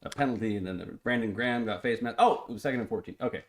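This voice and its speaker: A man's voice: fairly low pitch, rapid-fire. A man co-hosting a live sports stream, calling plays in a clipped, informal way and chatting casually. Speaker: co-host calling the game. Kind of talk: casual live play-by-play commentary and banter.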